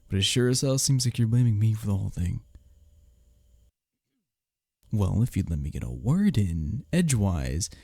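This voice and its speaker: A man playing a smug, taunting office rival in an intimate roleplay audio drama, sardonic and teasing, with a husky low voice. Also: mellow, dry recording, clean studio sound. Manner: sardonic, teasing, needling, with breathy chuckles.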